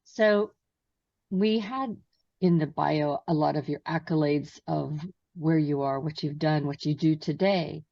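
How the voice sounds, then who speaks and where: A woman's voice: low voice, measured pace, gravelly, mellow, no echo. A woman hosting an interview podcast, reading her guest's bio with small stumbles and then asking questions. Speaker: podcast host. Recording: distant, dull, dry mic sound.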